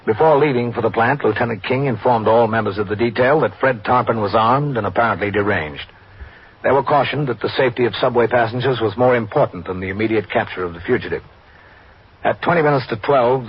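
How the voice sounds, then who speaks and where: An actor playing a sober police captain in an old-time radio drama, measured, formal and matter-of-fact. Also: male voice, fairly low pitch, slightly rough. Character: sober male police captain recounting his case. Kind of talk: scripted radio drama narration. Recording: dull, hissy old radio recording.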